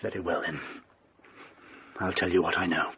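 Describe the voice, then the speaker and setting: Intense, bright-voiced English doctor. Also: male voice, sits low, steady tone, moderate pace, husky, no echo, exaggerated voice. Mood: grave, confiding. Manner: formal, dramatic, urgent pleading and grave storytelling. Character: agitated, desperate male doctor obsessed with an occult book. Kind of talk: British radio drama acting.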